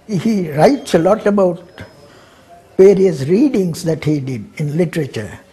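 An elderly man, speaking haltingly in an indoor documentary interview, repeating words. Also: sits high, animated, mellow, husky. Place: indoors in a living room.

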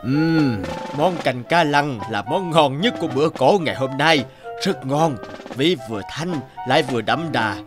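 A man voicing a kindly old teacher in a children's cartoon, measured and appreciative. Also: fairly high pitch, lively intonation. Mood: pleased, approving. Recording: music under the voice.

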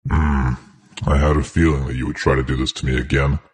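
Sinister shadow villain, extremely deep, monotone, husky, taunting, game character. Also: male voice. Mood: menacing. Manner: slow, threatening, taunting.

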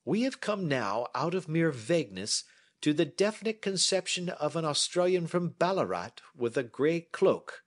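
A man's voice: a little high, sing-song, moderate pace, pitched above the performer's own voice. A man reading as a brilliant, self-assured Victorian detective who explains his deductions precisely. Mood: lecturing.

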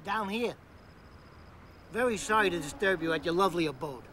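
An actor playing a smooth-talking father mouse in a family film, ingratiating and theatrically polite. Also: sits high, animated, a little fast, noisy background. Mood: ingratiatingly polite.

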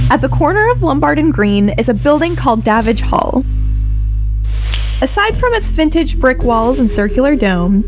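A woman narrating a scripted audio drama. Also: high voice.

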